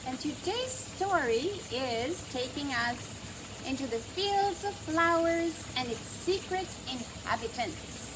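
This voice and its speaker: High, lilting, slow woman storyteller. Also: animated, noisy background. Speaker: children's storyteller. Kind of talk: expressive scripted storybook narration.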